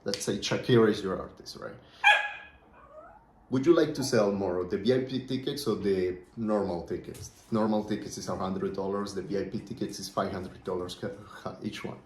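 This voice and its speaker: A man's voice: low-pitched, moderate pace, background noise. A man from Colombia, a native Spanish speaker with a Colombian accent, talking through his business plans in a rambling, casual monologue and often checking in with "right?". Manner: rambling, keeps asking "right?". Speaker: small business owner vlogger. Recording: roomy echo.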